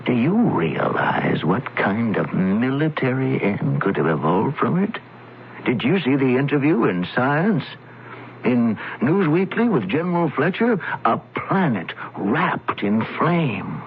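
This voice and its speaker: A persuasive man whose low, gravelly voice rises and falls as he soothes and steers the widow, sometimes sinking to a whisper. The sound is tinny old radio with faint noise. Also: very animated, slow pace. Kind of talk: 1970s radio drama acting.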